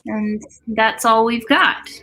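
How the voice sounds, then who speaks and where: A woman's voice: a little high, fairly even intonation, slow pace, bright, forceful tone, low-quality recording. A woman co-hosting a livestream in a casual, chatty and informal way. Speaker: livestream co-host.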